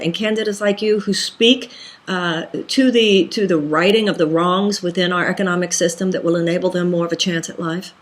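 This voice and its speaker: A woman from Texas hosting a political interview, asking earnest, emphatic questions. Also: mid-range voice. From Texas, USA. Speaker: interview host.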